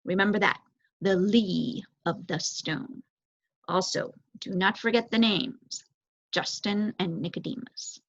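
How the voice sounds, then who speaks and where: A woman voicing a solemn, resigned old male owl in a children's storybook, with formal, measured phrasing. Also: medium pitch, no room sound, highs cut off. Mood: grave, advising. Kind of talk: children's storybook read aloud.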